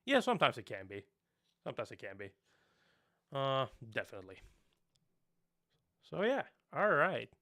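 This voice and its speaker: A man's voice: medium pitch, huge pitch swings, normal speed, pauses often, bright, forceful tone. A young man from Norway, a native Norwegian speaker talking in English, chatting casually on a live stream, rambling and laughing often.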